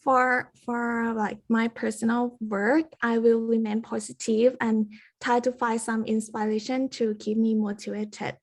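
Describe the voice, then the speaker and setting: A woman's voice: a little high, little pitch movement, measured pace, hardly any pauses. A 21-year-old woman with a Thai accent answering practice interview questions in careful, rehearsed English. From Thailand. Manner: careful, rehearsed, non-native grammar. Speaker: university student.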